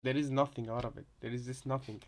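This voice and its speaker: A man's voice: mid-range voice, flat delivery, fast. Rambling male reactor, casual commentary. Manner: rambling, repeats and reworks phrases. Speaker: reaction video host.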